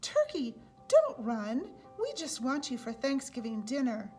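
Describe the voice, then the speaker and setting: An eager, polite storybook moose, acted out by a woman reader. The voice sits fairly high and is exclamatory and insistent in a hearty way. Faint music plays along. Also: huge pitch swings, measured pace, darkish tone, full character voice. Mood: excited, coaxing. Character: eager, hearty male moose hunting a turkey guest.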